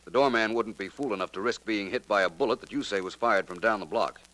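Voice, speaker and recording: An actor playing a suave, self-assured gentleman detective in a 1940s radio drama, speaking formally and articulately. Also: fairly low pitch, fast, close to an everyday voice, poor audio. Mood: brisk, deductive. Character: suave, cool-headed gentleman private detective.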